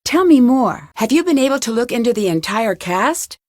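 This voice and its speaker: A young American woman voicing a polite, inquisitive amateur detective in a scripted adventure game, composed and clearly spoken. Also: fairly high pitch, very animated, normal speed, darkish tone, no room sound, clean studio sound. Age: young adult.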